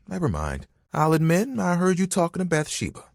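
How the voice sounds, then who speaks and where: A man's voice: fairly high pitch, wildly swooping pitch, normal speed, gravelly, no room sound, studio quality, full character voice. An actor voicing a talking bath towel in a dating video game, a bashful, eager young guy who rambles casually and self-consciously in puns. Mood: earnest, awkward. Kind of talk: dating game character dialogue.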